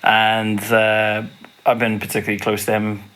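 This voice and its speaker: A man co-hosts a casual podcast in a fairly low voice. He talks in an easygoing, teasing way, relaxed as he tells a personal story. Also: moderate pace, a little hiss or hum, rough recording. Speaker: podcast co-host.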